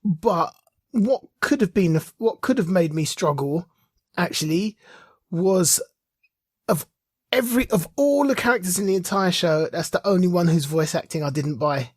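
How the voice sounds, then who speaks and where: A man's voice: high voice, expressive, moderate pace, husky, dry recording, clean studio sound. A man co-hosting a podcast, giving opinions in casual, emphatic conversation. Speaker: podcast host. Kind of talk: casual chat, opinionated review.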